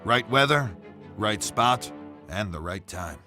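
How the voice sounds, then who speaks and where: An actor playing a world-weary, suspicious police detective in a video game, speaking in a measured, grave and deliberate way. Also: male voice, lowish voice, expressive, bright, forceful tone, faint music behind, exaggerated voice. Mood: grave, brooding. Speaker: detective character and narrator. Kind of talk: dramatic noir-style narration and dialogue.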